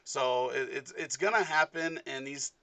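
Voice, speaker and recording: A man's voice: medium pitch, normal speed, sharp tone, no echo. A man hosting a sports podcast, chatting casually and rambling with many fillers. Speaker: podcast host. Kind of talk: casual interview chat.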